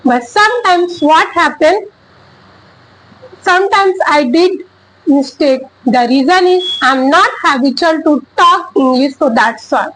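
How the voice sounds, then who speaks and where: A woman with an Indian accent whose first language is Chhattisgarhi, talking casually to camera about learning English. She speaks haltingly, searching for words, in simple encouraging sentences. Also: high-pitched, lively intonation, fairly bright tone, slight background noise, low-quality recording.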